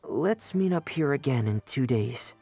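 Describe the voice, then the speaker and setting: An actor voicing a shy, gentle, dreamy teenage boy in a video game, speaking softly and earnestly with some hesitation. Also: medium pitch, animated, unhurried, faint music behind. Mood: polite. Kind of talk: video game character acting.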